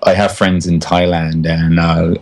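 A man's voice: low voice, flat delivery, unhurried. An Englishman in casual conversation, enthusiastic and often restarting his phrases. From England.

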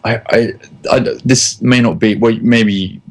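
A man's voice: mid-range voice, fairly even intonation, a little slow. An Englishman in casual conversation, enthusiastic and often restarting his phrases. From England.

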